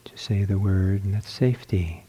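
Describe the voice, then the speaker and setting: A man leading a guided loving-kindness meditation, speaking softly and trailing off into whispers. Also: low voice, breathy edge, dull audio. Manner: slow, soft, trailing into whispers. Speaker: meditation teacher.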